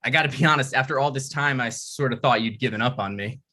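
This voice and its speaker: Man reading a awkward struggling writer, stammering, bright tone. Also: medium pitch, quick pace. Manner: hesitant, stammering, deflated. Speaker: writer and actor in a table read. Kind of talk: comedy table read.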